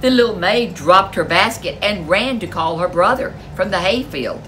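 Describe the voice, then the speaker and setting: A woman's voice: medium pitch, expressive, moderate pace, a bit bright, slight background noise, rough recording. A woman storyteller reading a folk tale aloud in a dramatic, emphatic way. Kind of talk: animated folk tale narration for children.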